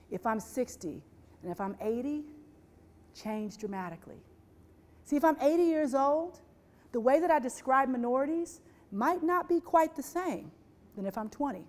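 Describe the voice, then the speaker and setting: A woman giving a presentation to an audience, rhetorical and repetitive, asking listeners questions and briefly voicing quoted people. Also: a little high. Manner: rhetorical, repeats phrases, asks the audience questions; voices quoted people with lively shifts. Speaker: speaker on workplace diversity.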